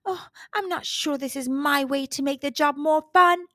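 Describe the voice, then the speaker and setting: A woman voicing an eager young male dung beetle in a children's storybook, expressive and exclamatory. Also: high voice, normal speed, no room sound, clean studio sound. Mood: doubtful.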